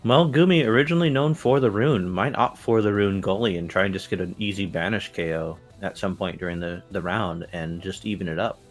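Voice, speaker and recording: Excitable male esports caster, low voice. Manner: excitable, rising into fast hype calls. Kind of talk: play-by-play commentary. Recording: faint game music behind.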